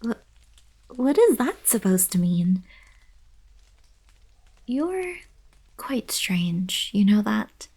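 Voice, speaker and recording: A woman playing a gentle, regal young alien princess in an intimate ASMR roleplay, soft-spoken and half-whispering, a little hesitant and shy. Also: medium pitch, big pitch swings, extremely slow, long pauses, exaggerated voice. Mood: flustered, playful.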